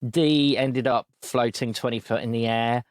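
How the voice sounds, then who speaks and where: A man's voice: mid-range voice, moderate pace, dry recording. Man recapping as game master. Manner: relaxed recap with frequent ums. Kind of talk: recapping a tabletop game session.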